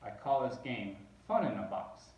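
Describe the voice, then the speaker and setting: A man's voice: medium pitch, very animated, lo-fi sound, lightly acted. A man voicing a mischievous, playfully persuasive storybook cat with a showy, coaxing manner. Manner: coaxing, showy, drawn-out repetitions. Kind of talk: storybook character voice. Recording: roomy echo, distant microphone.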